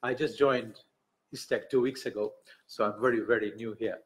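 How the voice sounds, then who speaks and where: A man from Tanzania giving a conference speech, halting and self-correcting, with light humor. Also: on the low side, steady tone. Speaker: speaker at an academic book event.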